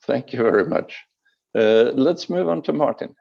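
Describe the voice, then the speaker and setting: A man's voice: mid-range voice, animated, normal speed, gravelly, dull audio. A man chairing an online meeting, calling on speakers in a brief, polite way.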